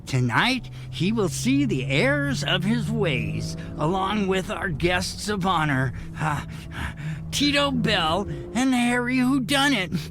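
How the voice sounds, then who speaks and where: A man's voice: sits high, very animated, music in the background, heavily acted. A man playing a smug, scheming socialite in a comic radio drama, sardonic and drawing out his sarcasm. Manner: drawn-out sarcasm.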